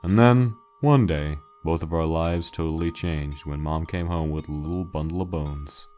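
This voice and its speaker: A low, weary male skeleton monster played by a man tells his story over faint music. He is a guilt-laden joker, subdued and wistful, halting as he speaks in a dramatic comic dub. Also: mellow. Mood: wistful, reflective.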